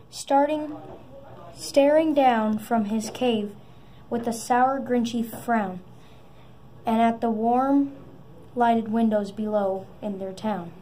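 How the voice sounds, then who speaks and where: Slow, high-voiced woman reading a storybook. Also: steady tone, warm tone. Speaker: storybook reader. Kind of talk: reading a children's story aloud. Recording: noisy amateur recording.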